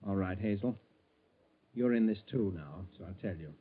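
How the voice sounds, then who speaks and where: An actor playing a nervous, scheming middle-aged embezzler who hesitates and stammers, in 1940s radio style. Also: male voice, deep voice, moderate pace. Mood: coolly scheming. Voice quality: hesitant, stammering under pressure. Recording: tinny, band-limited 1940s radio recording.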